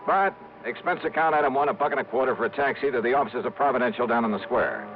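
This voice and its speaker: A man plays a wisecracking American private investigator from a 1950s radio drama. He is flippant and dry, rattling along at a fast clip. The old broadcast is clearly noisy and a little narrow in band. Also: medium pitch, natural voice. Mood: wry narrating. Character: wisecracking, cocky male freelance insurance investigator. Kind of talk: 1950s radio detective acting with first-person narration.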